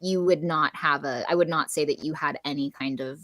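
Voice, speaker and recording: A woman's voice: low voice, fairly even intonation, brisk, a bit bright, no echo. A woman in her thirties from Southern California with a neutral American accent, chatting casually as an interview guest and filling her talk with "like" and laughs. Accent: General American. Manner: chatty, many "like" fillers, laughs often. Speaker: podcast guest, voice actor and coach.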